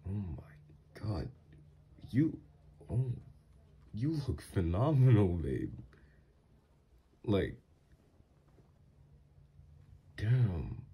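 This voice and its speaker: Man acting deep, gravelly, flirty mafia boss lover. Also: warm tone, heavily acted. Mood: flirty, admiring. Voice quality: slightly nasal.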